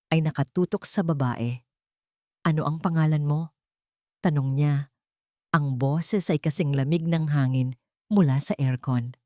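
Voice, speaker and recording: A woman's voice: deep voice, a little mellow, dry recording, studio quality. A woman narrating a scripted romantic drama as an audio storyteller.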